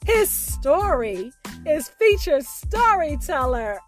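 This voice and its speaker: Slow, high-pitched woman host over music. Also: sing-song. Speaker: host introducing a featured storyteller. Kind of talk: scripted biographical introduction.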